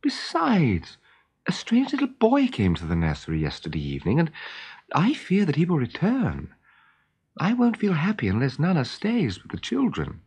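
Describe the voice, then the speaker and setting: A storyteller voicing an anxious, gentle, refined mother in an earnest, pleading manner. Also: medium pitch, wildly swooping pitch, normal speed, breathy edge, pitched above the performer's own voice, full character voice. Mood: worried.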